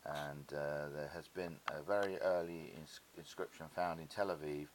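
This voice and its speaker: Low-voiced man lecturing over hiss. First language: Greek. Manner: halting, deliberate, pausing mid-phrase. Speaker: presenter of an educational video. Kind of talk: explanatory lecture with visual aids. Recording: hissy recording with steady background noise.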